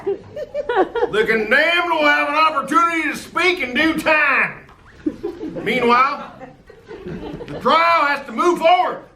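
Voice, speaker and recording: Performer as a stern judge, high bright voice, slow commanding delivery, echoey recording. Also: very animated, a little hiss or hum, heavily acted. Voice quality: commanding, gavel-banging.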